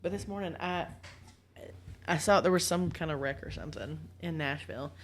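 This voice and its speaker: Low-voiced woman in casual chat, noisy background. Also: normal speed. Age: 40s. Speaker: podcast guest.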